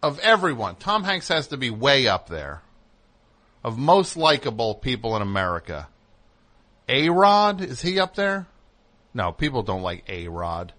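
A man hosting a radio comedy show with a bright, forceful voice. He rambles excitably, swinging from high, animated bursts down to low mutters. It is a loose, joking phone chat. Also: unhurried. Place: radio studio.

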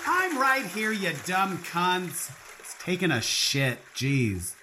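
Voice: mid-range voice, sing-song, background noise. Actor as crabby, drawling drag queen. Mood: irritated. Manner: blunt, irritated, drawn-out. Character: crabby, foul-mouthed, unimpressed drag queen contestant. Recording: loud music bed behind the voice.

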